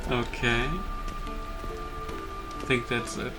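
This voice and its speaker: Rambling male gamer over loud music. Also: a little high, wildly swooping pitch, drawn-out words. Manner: rambling, hesitant, informal. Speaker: gamer commentating on own playthrough. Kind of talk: casual let's play commentary. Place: voice-over on gameplay. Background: loud game music.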